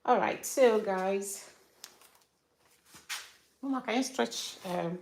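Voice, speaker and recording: A woman from Nigeria with a clear Nigerian accent talks slowly and easily through a hair care vlog at home. She is middle-aged and chatty, laughing often as she speaks to her viewers, her pitch sitting in a middle range. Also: a little mellow, a little hiss or hum. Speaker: hair care vlogger and mother. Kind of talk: casual tutorial chat to viewers.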